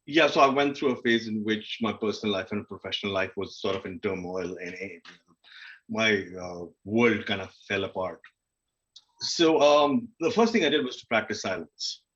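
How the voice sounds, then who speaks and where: A man's voice: lowish voice, fairly bright tone, dull audio. A man speaking candidly and informally as a panel guest, often voicing his inner dialogue in a conversational way. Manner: informal, self-questioning, acts out inner dialogue. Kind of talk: candid conversational panel talk about personal experience.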